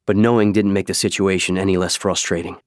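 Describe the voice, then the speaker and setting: Male narrator for novel audiobook, lowish register, close dry studio sound. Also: little pitch movement, fast talker, clear, resonant tone, no room sound. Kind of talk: scripted fiction narration.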